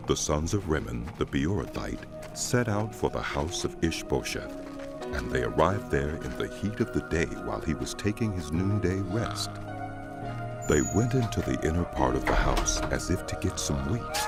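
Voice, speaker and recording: A man narrating a dramatized scripture as scripted storytelling. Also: rumbling low.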